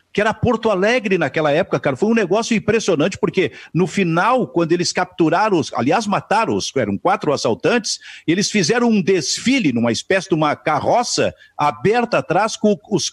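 A man's voice: high-pitched, breathy edge, no room sound. A man with a southern Brazilian gaúcho accent, musing casually in conversation. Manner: musing, conversational, reads from a book. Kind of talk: casual chat.

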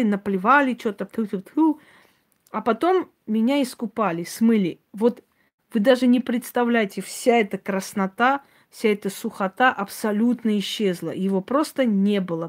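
A woman giving an informal talk and answering listeners as she goes, in a blunt, opinionated, conversational manner. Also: a little high. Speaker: host, self-described witch. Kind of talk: informal talk to live audience, answering comments.

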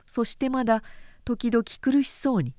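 A woman narrating a literary period story as an audiobook, in a measured, dramatic storytelling manner. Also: on the high side, mellow, dry recording, clean studio sound. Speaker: narrator, announcer.

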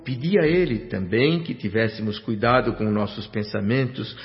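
An actor playing a solemn male narrator in a radio drama, grave and formal. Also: on the low side. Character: solemn, inquisitive male narrator, a newcomer spirit. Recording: muffled radio play with background music.

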